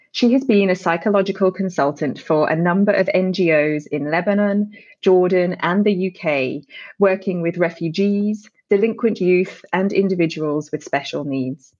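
A woman hosting a podcast interview, reading a guest introduction and asking careful, measured questions. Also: on the low side, a little mellow. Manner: measured, careful phrasing with some restarts. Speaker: podcast host. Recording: dull, lo-fi sound.

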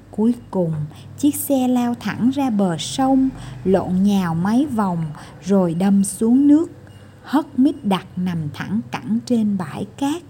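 A woman storyteller giving an expressive scripted narration of a children's story. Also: medium pitch, slight background noise.

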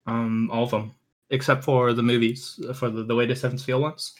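A man's voice: medium pitch, level tone, normal speed. A man speaking as a podcast guest, giving emphatic opinions in an informal, conversational way. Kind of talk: casual opinionated chat.

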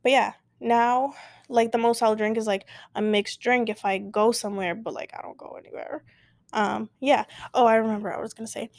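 A woman's voice: a little high, steady tone, fairly quick, vocal fry, no room sound. Rambling young woman podcaster, dry sound. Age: 20s. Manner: rambling, filler-heavy, self-interrupting. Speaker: podcast host. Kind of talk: casual solo podcast chat. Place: at home, late at night.

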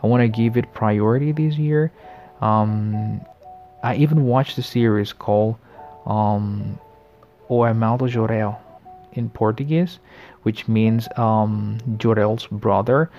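A man in his late twenties hosting a casual solo podcast, talking in a friendly, reflective way, often pausing and restarting his sentences. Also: mid-range voice, lo-fi sound. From the Americas. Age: late 20s. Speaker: podcast host.

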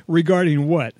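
A man's voice: fairly high pitch, a little mellow, dry recording. A man co-hosting a radio show, bantering in a teasing, jokey way.

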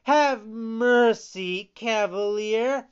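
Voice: mid-range voice, extremely slow, clear, resonant tone, muffled recording, pitched above the performer's own voice. A narrator voicing a brave little wooden boy puppet who speaks imploringly and with great feeling. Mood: pleading.

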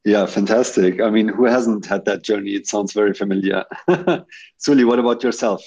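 A male host welcomes his guests on an online audio show in a friendly, chatty way, filling pauses with "uh" and "um". His voice sits fairly low. The sound is dull and lo-fi. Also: vocal fry, darkish tone, slightly rough. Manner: friendly, chatty, with many fillers.

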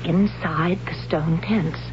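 A slow hushed tribe girl, old radio hiss. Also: on the low side, steady tone, muffled recording. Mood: earnest. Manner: hushed, earnest. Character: young woman of a future tribe, devoted and fearful. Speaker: radio drama actor. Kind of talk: 1970s radio drama acting.

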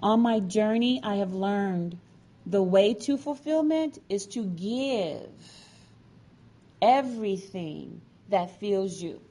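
A woman giving a prepared, inspirational personal story in earnest, deliberate phrases with pauses. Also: medium pitch, lively intonation, warm tone. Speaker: featured storyteller, sign language educator and advocate.

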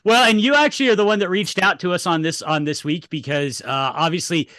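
Bright-voiced man hosting, very dry sound. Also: high-pitched, fairly quick, no room sound. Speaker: podcast host. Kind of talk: casual podcast chat.

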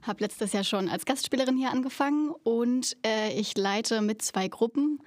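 A woman's voice: fairly high pitch, moderate pace, no room sound. Young woman from Berlin in her late twenties, easygoing, dry close sound. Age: 20s. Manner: relaxed, easygoing, hesitant fillers. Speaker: guest, actress. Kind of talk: casual interview answers.

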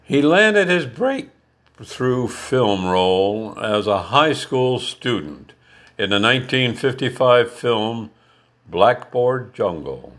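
Slow, measured older man host. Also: mid-range voice, sing-song, a bit bright. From Canada. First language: Canadian French. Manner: measured, deliberate reading. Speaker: podcast host. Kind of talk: scripted biographical narration.

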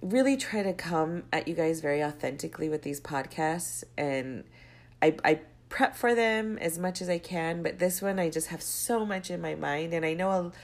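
A young woman with a low-pitched, congested voice hosts her own podcast. She talks casually and rambles, drifting into side stories before circling back to her point. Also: normal speed. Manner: rambling, goes off on tangents. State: stuffy, congested with a cold. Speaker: podcast host. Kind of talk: casual solo podcast monologue.

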